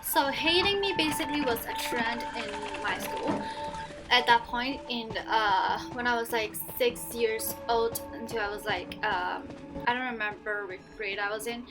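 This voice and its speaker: A woman's voice: a little high, measured pace, low-quality recording. Cantonese-accented young woman over game music. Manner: chatty, with fillers and restarts. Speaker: gaming vlogger. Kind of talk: casual storytime over gameplay.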